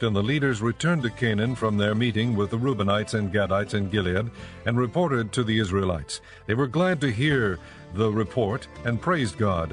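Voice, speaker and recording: Low-pitched male scripture narrator. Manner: measured, formal.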